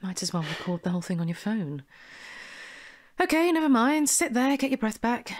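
A woman playing a smooth, bossy con artist with a brisk, persuasive manner in an audio drama. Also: a little high, sing-song. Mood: brisk, impatient. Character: smooth, bossy female con artist posing as investment promoter.

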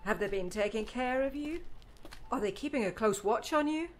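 A woman's voice: a little high, animated, normal speed, close to an everyday voice. A woman playing a doting, fussing middle-aged mother in an audiobook drama, speaking with emotion.

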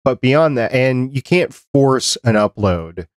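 A man's voice: mid-range voice, lively intonation, takes it slowly, dry recording, studio quality. A middle-aged man hosting a podcast chat, explaining things in a matter-of-fact, conversational way. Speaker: podcast host. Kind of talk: conversational interview and advice. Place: small cluttered home office, video call. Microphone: desk microphone close up, headset.

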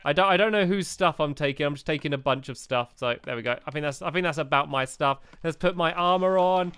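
A woman's voice: sits low, fast talker, a bit bright, smooth. A woman chatting casually while playing a video game together, giggling often and talking in loose, rambling bursts. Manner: giggly, chatty, lots of fillers. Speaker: gaming video co-host. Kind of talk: casual gameplay chat.

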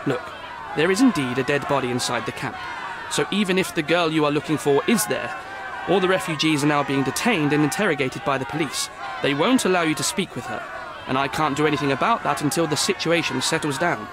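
An actor playing a harried, defensive city hall official in a video game, speaking formally and precisely. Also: male voice, on the high side, fairly quick, crowd noise, background noise, lightly acted. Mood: resigned, explanatory. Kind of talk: video game cutscene acting.

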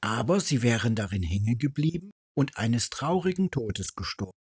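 A man's voice: mid-range voice, lively intonation, normal speed, breathy edge, no room sound, clean studio sound. A man reading a fairy tale aloud as a storyteller.

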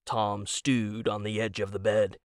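Slow, wry male story narrator. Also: lowish voice, flat delivery, no room sound, clean studio sound. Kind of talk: scripted dramatic story narration.

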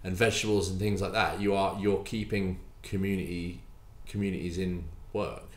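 A man in his forties, a baker and bakery owner, talking in a casual podcast interview, animated and emphatic, often repeating words as he restarts. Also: sits low, normal speed. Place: bakery room with hard walls. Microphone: desk mic on stand. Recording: roomy echo, faint room noise.

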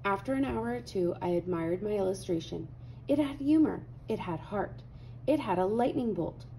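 A woman reading a children's picture book aloud with animated, dramatic emphasis. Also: mid-range voice, normal speed, creaky, slight background noise, rough recording. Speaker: read-aloud host.

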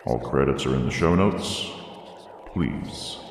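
Extremely deep, echoing eerie librarian. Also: male voice, takes it slowly, in a whisper, exaggerated voice. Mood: eerie, courteous. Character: eerie, courteous male keeper of a haunted library. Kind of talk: theatrical scripted podcast outro. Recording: echoey hall reverb, faint noise.

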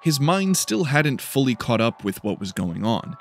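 A man's voice: mid-range voice, big pitch swings, a little slow, slight background noise. A man reading scripted fiction as a dramatic audiobook narrator. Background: faint music.

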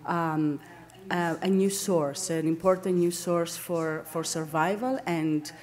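Low-pitched Greek-accented woman lecturing. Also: fairly even intonation, a little mellow. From Greece. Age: middle-aged. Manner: halting, searching for words, self-correcting. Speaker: academic lecturer, landscape architect. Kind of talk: academic seminar lecture. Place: indoor lecture room, at a lectern.

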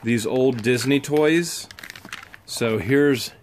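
Excitable man unboxing storage finds. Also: mid-range voice, very slow, a little hiss or hum. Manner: excitable, exclaims over each find. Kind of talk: casual unboxing chat.

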